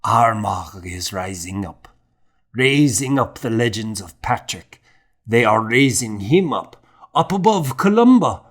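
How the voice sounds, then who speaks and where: A man's voice: on the low side, big pitch swings, measured pace. A man voicing a zealous, indignant old monk in an audiobook, fervent and strained as he declaims. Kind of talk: audiobook character acting.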